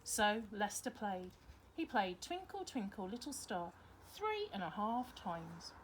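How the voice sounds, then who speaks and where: A woman's voice: mid-range voice, big pitch swings, slow, slight background noise, low-quality recording. A woman reading a children's story aloud expressively, like a teacher to her pupils.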